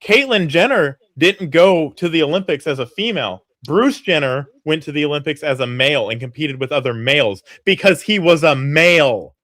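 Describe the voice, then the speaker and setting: A blunt man in his late twenties trades jabs on a casual panel show. He talks in a fairly high register and swears freely. The sound is close and dry. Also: lively intonation, moderate pace, few pauses, a bit bright, dry recording. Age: 20s. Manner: blunt, profane, teasing. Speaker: podcast co-host.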